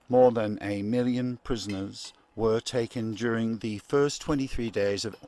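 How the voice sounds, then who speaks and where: A man playing a grave, formal 1940s radio newsreader in a radio play, with deliberate, halting phrasing. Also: lowish voice, a little mellow.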